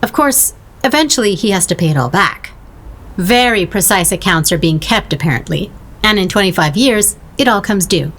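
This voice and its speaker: A woman's voice: medium pitch, animated, moderate pace, fairly bright tone. Dryly bantering woman. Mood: wry storytelling. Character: wry, bantering woman friend of a blocked painter. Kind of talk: audio drama dialogue.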